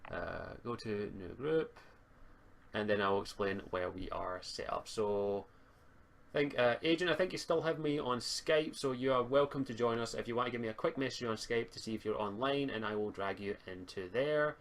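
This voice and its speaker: Halting man running a tabletop game. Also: mid-range voice, creaky. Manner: halting, repeats words while thinking. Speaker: game master and streamer. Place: home online voice call stream.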